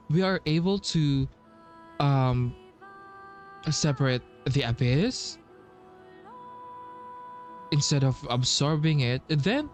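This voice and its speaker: A man doing casual playthrough commentary as a streamer, thinking aloud haltingly and repeating himself. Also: high voice. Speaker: game streamer. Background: game music.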